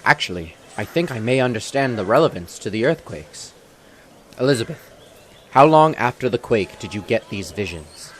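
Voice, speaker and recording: A man's voice: mid-range voice, animated, normal speed, a bit bright. An actor playing a thoughtful, analytical man reasoning earnestly among companions in a fantasy audio drama. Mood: curious, intent.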